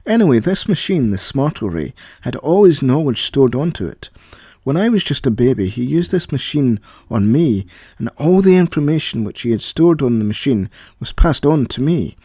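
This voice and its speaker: A man's voice: mid-range voice, big pitch swings, moderate pace, dark tone, dry recording, studio quality. A man voicing a proud young boy inventor, easily irritated, in an audio story. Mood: boastful.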